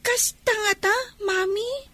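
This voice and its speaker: A woman's voice: extremely high pitch, bright, forceful tone, no room sound, heavily acted. A woman playing an innocent, inquisitive young child in an Ilocano-accented radio drama, speaking simply and questioningly in a small, thin voice. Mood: innocent, puzzled. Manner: slow, simple, questioning delivery. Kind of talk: Filipino radio drama acting.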